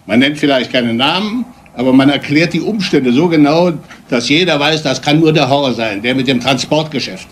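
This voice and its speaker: A man's voice: a little high, lively intonation, normal speed, few pauses, slight background noise, lightly acted. An actor playing an older, respectability-obsessed father in a radio crime drama, speaking in a pompous, indignant, declamatory way. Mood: indignant, shaken. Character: outraged, respectability-obsessed father of a grown son.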